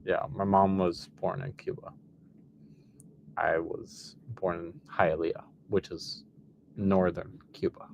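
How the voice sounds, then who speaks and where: A young man in his early twenties, chatting loosely and fast, scattering "like" through his sentences. His voice sits a little low, with faint background noise behind it. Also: level tone, creaky, highs cut off. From United States. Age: 20s. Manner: quick, loose, lots of "like". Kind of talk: casual conversation.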